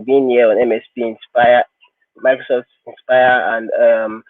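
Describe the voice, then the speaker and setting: A man's voice: mid-range voice, no echo. A man speaking as a guest instructor in an online tech session, informal and upbeat over a video call. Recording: tinny phone-like call audio.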